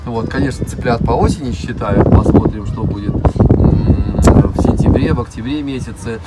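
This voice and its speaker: A middle-aged man giving a casual guided tour in short, unhurried phrases with frequent pauses. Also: on the low side, over loud music, poor audio. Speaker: zoo director giving an interview and tour. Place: open cab of a small vehicle driving through an outdoor park.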